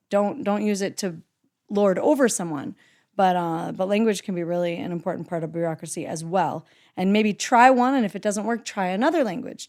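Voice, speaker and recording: A woman's voice: medium pitch, a little mellow. A woman co-hosting a podcast, giving casual, encouraging advice and laughing often. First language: English. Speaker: podcast co-host. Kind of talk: casual chat.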